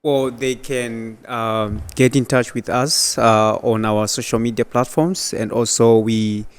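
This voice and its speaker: A man's voice: mid-range voice, little pitch movement, a little slow, clear, resonant tone. A man answering as an interview guest, explaining things plainly. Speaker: project coordinator, interview guest.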